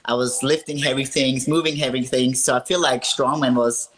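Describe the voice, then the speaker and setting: A woman in her thirties from Austria, a strongwoman athlete telling her story with earnest upbeat warmth in a casual call interview. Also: extremely low pitch, steady tone, normal speed, a little hiss or hum.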